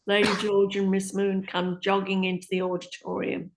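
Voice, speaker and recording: A woman's voice: mid-range voice, monotone, normal speed. A woman reading stage directions as scripted narration for a play.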